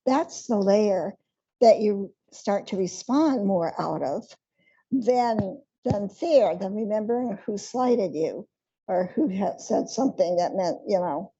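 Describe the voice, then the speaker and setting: A woman speaks reflectively on a panel, with many fillers. Her voice is husky and dark. The event recording sounds dull and slightly lo-fi. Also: mid-range voice, lively intonation, slow pace.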